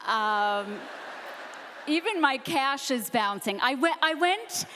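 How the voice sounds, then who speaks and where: A woman's voice: high voice, expressive, slow, sharp tone. A woman in her fifties doing dry, murmured stand-up one-liners on a large theater stage. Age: 50s. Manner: dry, murmured delivery under her breath. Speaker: stand-up comedian. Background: audience laughter and room noise.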